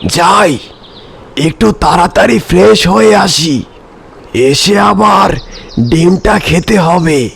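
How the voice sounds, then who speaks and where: Gravelly, high-pitched cartoon hyena. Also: male voice, expressive, slow, long pauses, fairly bright tone, exaggerated voice. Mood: pleased, eager. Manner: exclaiming, talking to himself. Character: excitable, easily frightened cartoon hyena. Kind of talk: exaggerated children's cartoon acting.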